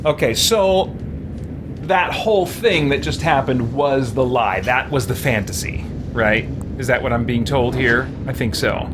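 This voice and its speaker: A man's voice: medium pitch, a little slow, noisy background. A man hosting a game playthrough, musing to himself in casual commentary with mild exclamations. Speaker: gaming video host. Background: game music.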